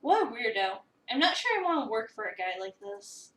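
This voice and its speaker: Visual novel lead, read by a woman: skeptical, snarky young cafe applicant, bright and sarcastic, echoey room sound. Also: a little high, sing-song, brisk. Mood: wry, reluctant. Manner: sarcastic, wry. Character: snarky, skeptical young newcomer applying at a cat cafe, narrating inner thoughts. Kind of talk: dramatic reading of a visual novel. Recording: roomy echo, distant microphone.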